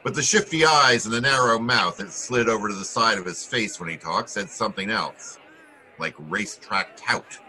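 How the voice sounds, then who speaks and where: A man's voice: on the low side, normal speed, pushed and bright, rough recording. A man playing a wry, hard-boiled American private detective, tough and clipped, in 1940s radio drama style.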